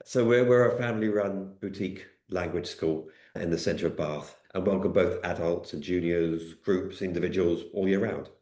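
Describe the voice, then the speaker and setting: A man who runs finance at a language school, introducing himself in an easygoing, low-key way. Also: moderate pace, hardly any pauses, warm tone, roomy sound. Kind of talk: podcast interview.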